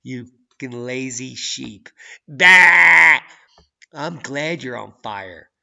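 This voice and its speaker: A man hosting a channel, reading news and weather maps with sarcastic, profane commentary that swings from low mutters to loud outbursts. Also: animated, drawn-out words, gravelly. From United States. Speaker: channel host.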